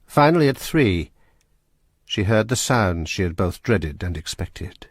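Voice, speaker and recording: Husky, low male narrator. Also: very animated, no echo, studio quality. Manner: measured and suspenseful. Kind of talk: scripted storytelling narration.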